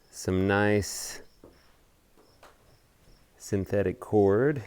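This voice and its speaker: A man presenting a casual explanatory hobby video update outdoors, talking in deliberate, measured phrases. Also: low voice, clean tone, no room sound. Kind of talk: casual explanatory vlog talk. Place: outdoors on a house deck.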